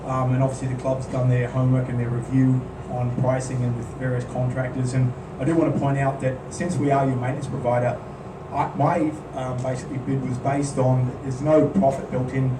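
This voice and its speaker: A man's voice: mid-range voice, fairly quick, few pauses. A man presenting a project at a club meeting, speaking plainly and steadily with many "um"s. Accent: non-local accent. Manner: plain, steady, filler-heavy delivery. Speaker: maintenance contractor presenting. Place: meeting room at a golf club. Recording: roomy, distant mic, background hum.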